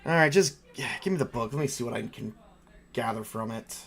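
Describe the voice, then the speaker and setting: A man's voice: medium pitch, fairly quick, gravelly, breathy edge. A man playing an anxious, skeptical college student in a tabletop roleplaying game, incredulous, blunt and a bit rambling. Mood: uneasy, reasoning.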